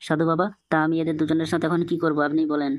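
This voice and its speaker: A man's voice: a little high, level tone, very fast, dry recording, close to an everyday voice. A man voicing a hot-headed but devout middle-aged village father in a Bengali comedy cartoon. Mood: indignant.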